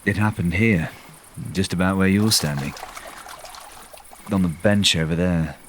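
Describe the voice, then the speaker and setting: A man's voice: low-pitched, slight background noise. An actor playing a haunted, helpless cursed man in an audio drama, speaking hesitantly. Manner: hesitant, halting.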